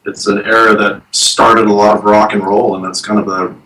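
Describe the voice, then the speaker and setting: A man in his thirties speaks as a band guest, slow and hesitant, full of fillers. His voice is low-pitched and sounds far from the mic in an echoey room, with faint noise around it. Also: flat delivery, bright, forceful tone. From Texas. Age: 30s. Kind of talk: casual interview chat.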